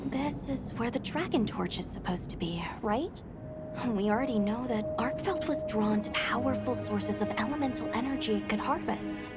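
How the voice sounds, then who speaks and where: A woman's voice: on the high side, normal speed, loud music behind the voice, lightly acted. A woman playing an earnest, thoughtful young researcher in a video game cutscene.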